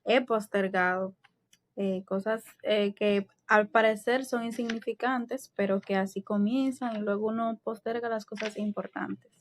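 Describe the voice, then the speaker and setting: Composed Dominican woman, measured tone. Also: mid-range voice, steady tone, a little hiss or hum. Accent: Dominican Spanish. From Dominican Republic. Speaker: podcast co-host.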